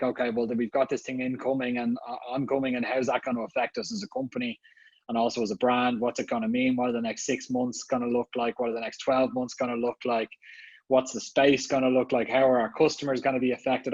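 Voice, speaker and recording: A man's voice: medium pitch, no echo. Chatty Irish male guest on a muffled, dry video-call line, full of fillers. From Ireland. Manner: chatty, many fillers and restarts. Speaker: guest speaker, marketing executive. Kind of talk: casual online summit talk.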